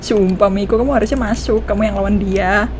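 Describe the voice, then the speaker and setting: A woman with an Indonesian accent giving casual, expressive reactions as a gaming streamer, switching between languages. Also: medium pitch, fast. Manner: expressive, mixes two languages, repeats game lines. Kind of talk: casual game reaction commentary. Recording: clear background noise from game.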